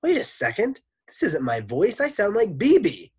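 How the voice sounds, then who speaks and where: A reader plays bewildered schoolchildren in a children's storybook. The voice jumps up very high and loud, excited and exclaiming, with a slightly rough edge. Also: normal speed, heavily acted.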